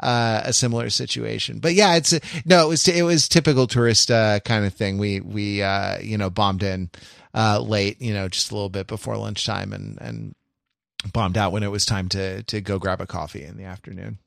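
A man's voice: fairly low pitch, steady tone, normal speed, gravelly, a bit bright, dry recording, studio quality. A man co-hosting a casual podcast, wry and mock-erudite, circling his point with hesitations and restarts. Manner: hesitant, digressive, many restarts. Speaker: podcast co-host.

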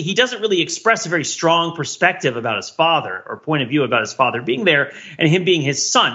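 Male podcast co-host, slightly high and bright, casual but mock-earnest, long rambling analysis, slightly muffled recording. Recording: slightly dull, lo-fi audio.

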